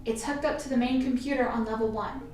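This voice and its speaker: A woman's voice: fairly high pitch, fairly even intonation, fast talker, a little mellow, lightly acted. A woman playing an earnest, nervous medical technician in a radio play. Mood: explaining. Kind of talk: amateur radio play acting. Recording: very echoey room, distant, faint music.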